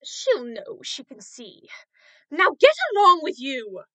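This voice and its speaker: A woman voicing a cruel, bossy innkeeper's wife who scolds in a shrill voice in a radio drama. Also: sing-song, slow pace, sharp tone, exaggerated voice. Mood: scolding, impatient.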